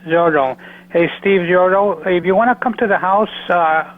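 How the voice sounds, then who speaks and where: A man answering a phone call, speaking haltingly and insistently repeating his questions. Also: high-pitched. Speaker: prank call recipient. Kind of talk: phone conversation. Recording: noisy narrowband phone line with hum.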